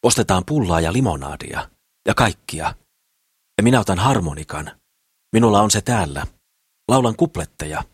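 Man playing a husky, boastful lovestruck builder. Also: deep voice, animated, pauses often, dry recording, clean studio sound, pitched above the performer's own voice. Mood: boastful, excited. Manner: boastful, rambling, slangy. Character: eager, boastful, simple lovestruck builder man courting a girl. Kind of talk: audiobook character voice.

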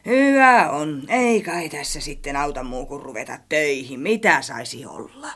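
A man's voice: a little high, sing-song, sharp tone, pitched above the performer's own voice, full character voice. A man voicing a talking cookbook, a pompous, theatrical gourmet snob who is easily offended, in an audiobook story. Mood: grumbling, resigned.

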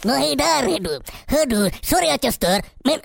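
A man's voice: extremely high pitch, expressive, gravelly, no room sound, clean studio sound, heavily acted. A man voicing a fussy gut bacterium who nags about being disturbed. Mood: nagging. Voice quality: nagging, complaining. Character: gut bacterium, fussy, put-upon worker.

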